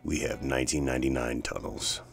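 A man's voice: extremely low pitch, little pitch movement, fairly quick, gravelly, faint music behind. A middle-aged man giving casual, unscripted reactions as a stream host, blunt, sweary and incredulous.

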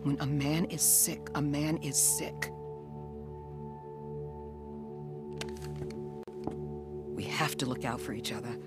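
A woman's voice: rumbling low, moderate pace, over loud music. An actress playing a caring, earnest young woman who works as a survivor camp medic in a video game. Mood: insistent.

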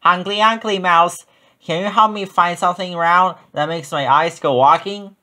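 A man's voice: sits high, normal speed, sharp tone, voice pushed up. A man voicing an earnest, curious little gosling in a children's storybook.

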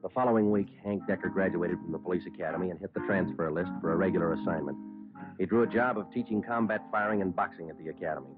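A man playing a deadpan American police sergeant narrating a radio crime drama. His low voice moves briskly and clipped, matter-of-fact to the end. It sounds like a muffled old broadcast with music. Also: natural voice. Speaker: detective narrator.

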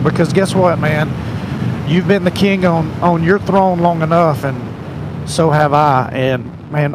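A man giving an earnest, colloquial, preachy vlog talk about faith as he rides a motorcycle. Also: a little high. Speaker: motorcycle vlogger. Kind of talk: casual faith talk while riding. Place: outdoors, riding a motorcycle. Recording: loud engine, wind and road noise.

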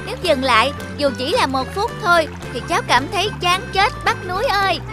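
A restless young stream from a cartoon fable. It sounds childlike and lively, pitched very high, with clear music behind it. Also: female voice, a little fast. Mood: eager.

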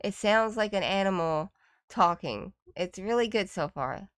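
A woman's voice: medium pitch, animated, a little slow, clear, resonant tone, dry recording, clean studio sound. A woman giving casual reaction commentary as a video host, in an appreciative, easily impressed way.

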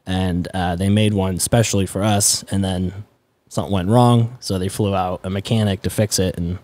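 A man in his twenties from the Netherlands, a native Dutch speaker, chatting casually and haltingly as a podcast guest. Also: low voice, fairly even intonation, a little mellow, dry recording. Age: 20s. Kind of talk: casual conversational storytelling. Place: indoors, garage-like studio. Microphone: close podcast mic on boom arm.

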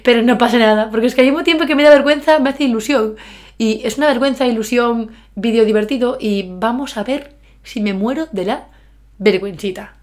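A woman in her twenties with a Galician Spanish accent, chatting excitedly and mocking herself in a bedroom vlog. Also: a little high, fairly quick. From Galicia, Spain. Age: 20s. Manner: excitable, self-mocking, bursts of shouting and laughter. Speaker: YouTuber. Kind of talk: reaction vlog, casual chat. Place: bedroom, indoors. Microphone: webcam with earphone mic.

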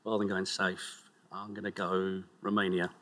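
A man's voice: low voice, flat delivery, moderate pace, fairly bright tone. A man in his fifties, a quiz contestant, speaking plainly and matter-of-factly on a lapel mic in a TV studio. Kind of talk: casual chat on a game show.

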